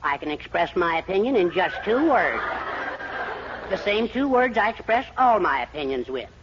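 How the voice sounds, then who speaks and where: A henpecked, middle-aged husband, meek and resigned in 1940s radio comedy style. The recording is dull, with clear background noise. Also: male voice, high-pitched, very animated, moderate pace. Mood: meek resignation.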